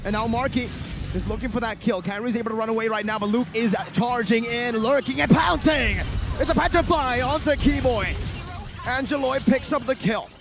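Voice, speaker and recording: A man giving fast play-by-play commentary on an esports match, rising to shouted hype on big plays. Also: very high-pitched, over music.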